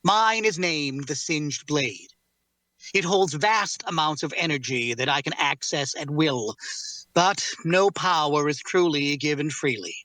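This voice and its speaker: A man playing a formal, guarded cursed warrior in an audio drama table read, speaking courteously in measured, deliberate phrases. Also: a little high, expressive, sharp tone, no echo, low-quality recording. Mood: grave, explanatory.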